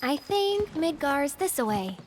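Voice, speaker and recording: A woman's voice: high-pitched, very animated, measured pace. An actress playing a playful, eager young woman in a video game, friendly and teasing, with music behind her. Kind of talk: scripted video game dialogue. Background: loud game music.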